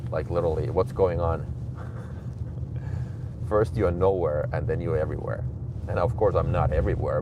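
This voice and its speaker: A Finnish man in his forties, chatting candidly and giving motivational talk on a live stream from his car. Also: sits low. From Finland. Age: 40s. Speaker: livestream host. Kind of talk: casual live-stream chat, reading chat aloud. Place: car, driving to his office. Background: vehicle noise.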